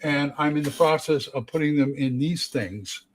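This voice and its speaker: A man in his late sixties in a casual chat, halting and repeating his words as he talks about running games. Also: a little high, low-quality recording. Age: 60s. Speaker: game master, co-host.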